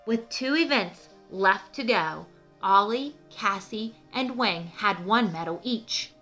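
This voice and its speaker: A woman's voice: medium pitch, animated, slow, bright, forceful tone, faint music behind. A woman reading a children's storybook aloud, explaining things simply as the host.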